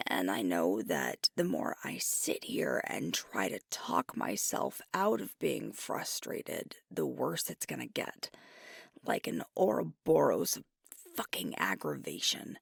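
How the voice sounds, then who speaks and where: Girl doing a werewolf alpha girlfriend asmr, slow n whispery, stressed but affectionate, pitch wanders a lot. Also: no echo, studio quality, heavily acted. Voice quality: breathy, soft, often whispered. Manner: halting, confiding, weary and vexed. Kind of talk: ASMR roleplay acting.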